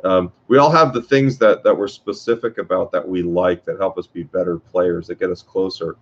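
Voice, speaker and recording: A man teaching guitar in a casual workshop talk, with a low voice and a rambling way of speaking, full of fillers and restarts. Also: steady tone, moderate pace. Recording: dull lo-fi sound.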